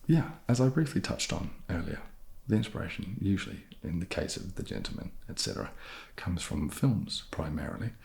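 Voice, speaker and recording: A man answering questions in a soft-spoken, casual, laid-back way with dry humour. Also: sits low, expressive, a little fast, vocal fry. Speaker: ASMR video creator answering fan questions.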